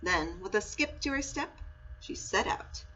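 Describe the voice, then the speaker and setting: A woman reading a children's story aloud in an expressive, storytelling style. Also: medium pitch, a little slow. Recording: muffled audio with clear background noise.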